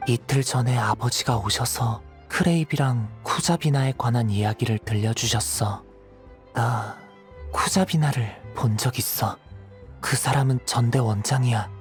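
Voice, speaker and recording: Man acting as weary young man, soft-spoken, calm, gentle, game dialogue, music underneath. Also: mid-range voice, measured pace, close to an everyday voice.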